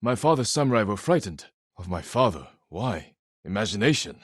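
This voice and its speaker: An actor playing a grave, honor-bound young samurai lord in a video game, speaking in a measured, restrained way with short, solemn sentences. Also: male voice, on the low side, very animated, slightly rough, no room sound, studio quality. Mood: wistful, troubled.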